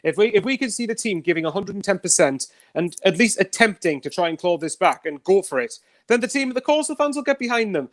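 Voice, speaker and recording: A man's voice: sits high, lively intonation, quick pace, hardly any pauses. A man, a panelist on a live football fan show, building his points with repeated phrasing.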